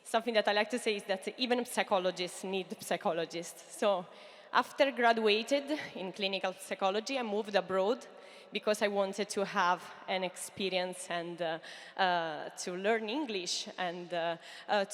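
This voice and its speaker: A woman in her thirties with an Italian accent, giving an earnest startup pitch on a conference stage and speaking straight to the audience. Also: normal speed. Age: 30s. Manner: earnest, addresses the audience directly with frequent fillers. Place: large hall stage. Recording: long hall reverb.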